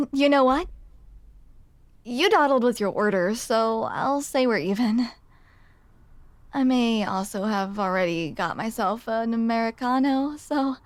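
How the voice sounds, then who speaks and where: Casting: female performer as grumpy female barista for ASMR roleplay, higher-pitched, dry sarcasm, awkward halting delivery, soft-hearted underneath. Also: no room sound. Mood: apologetic, wry. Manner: sardonic, self-deprecating, awkward, halting. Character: grumpy, flustered tsundere barista woman, secretly sweet.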